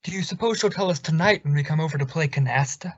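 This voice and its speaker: A man's voice: fairly high pitch, fast, husky. A man playing a jovial, gossipy, conspiratorial friend and neighbour in an old-time radio sitcom, hearty and wry. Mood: gleefully conspiratorial. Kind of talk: 1940s radio sitcom acting. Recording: dry, muffled old-time radio style recording.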